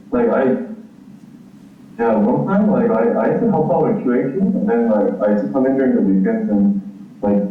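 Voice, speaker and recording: A man's voice: fairly low pitch, far from the mic. A man speaking remotely on a panel through his laptop microphone, talking casually and hesitantly with many fillers. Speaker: panel speaker from a game publisher. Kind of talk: casual panel talk over a call. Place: remote, heard through a video call. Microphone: built-in laptop microphone. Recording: phone-like call audio in an echoey hall.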